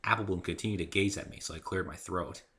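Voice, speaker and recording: Deep, forceful-voiced man narrating a story. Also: quick pace. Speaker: author reading own story. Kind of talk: dramatic story reading, first-person narration.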